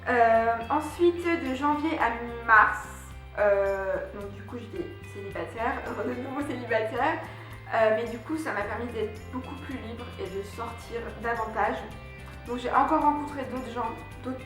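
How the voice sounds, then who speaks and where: A young woman from France, chatting casually to her viewers about a year abroad, with lots of fillers and restarts. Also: on the high side. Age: young adult. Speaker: vlogger. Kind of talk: casual vlog recap. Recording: very echoey room with faint music.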